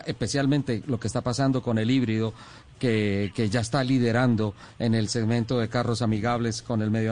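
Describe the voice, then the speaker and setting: A man's voice: mid-range voice, darkish tone. A man co-hosting a radio talk show, conversational, tagging his remarks with questions.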